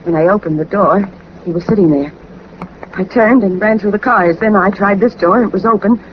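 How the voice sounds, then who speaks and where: A woman's voice: mid-range voice, moderate pace, natural voice. An actress playing a frightened young woman in danger, speaking politely and urgently in 1940s radio drama style. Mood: shaken. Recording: dull, noisy old 1940s radio recording.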